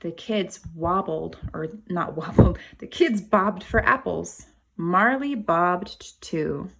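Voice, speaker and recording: Creaky-voiced woman reading a storybook to children. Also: fairly low pitch, slow, fairly bright tone, slight background noise. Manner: explains to young children, asks them questions. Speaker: kindergarten teacher reading a picture book aloud. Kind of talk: animated storybook read-aloud with asides to children.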